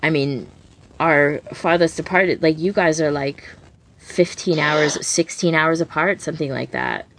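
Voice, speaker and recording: A woman with a low-pitched voice talks casually as a podcast co-host. She rambles conversationally, looping through asides and filler words such as "like" and "you know". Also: measured pace, low-quality recording. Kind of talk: casual chat.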